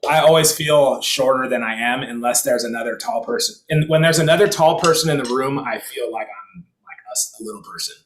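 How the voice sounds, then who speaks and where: A man hosts a loose group conversation over a video call. He rambles, trails off into fillers and laughs easily, at an ordinary middle pitch. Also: brisk, hardly any pauses, poor audio. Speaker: host and drummer. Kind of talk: casual group chat.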